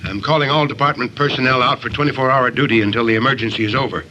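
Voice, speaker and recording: A man playing a brisk, authoritative fire chief in an old radio drama, voice filtered as if over a telephone. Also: mid-range voice, steady tone, bright, forceful tone. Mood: urgent, businesslike. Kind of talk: 1940s radio acting. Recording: muffled, hissy old radio recording, distant.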